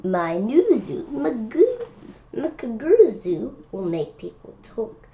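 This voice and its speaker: A woman reading a children's picture book aloud in a halting way, breaking off for spontaneous asides and questions. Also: fairly high pitch, huge pitch swings. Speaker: read-aloud presenter. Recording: dull lo-fi sound with faint noise.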